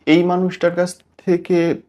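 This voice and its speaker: A man's voice: sits high, level tone, unhurried, a little mellow, highs cut off. A Bangladeshi man works as a radio interviewer. He listens and puts in short questions, prompts and acknowledgements as the guest talks. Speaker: radio show host.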